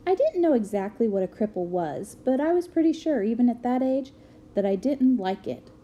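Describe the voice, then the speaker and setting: A woman reading a book aloud as an expressive narrator. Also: a little high, moderate pace, lo-fi sound. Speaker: reader of a children's book aloud.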